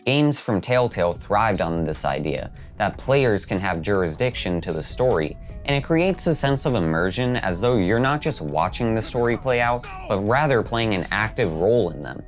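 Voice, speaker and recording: A man's voice: lowish voice, expressive, normal speed, few pauses. A man hosting a video essay, reading scripted narration. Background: background music.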